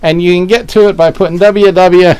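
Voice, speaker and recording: A man from Western Pennsylvania runs through fabric numbers and prices in a relaxed, joking way. His voice sits high and comes across smooth and clean. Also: a little fast, no room sound. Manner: joking, wry asides. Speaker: fabric shop co-host. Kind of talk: casual live product showcase.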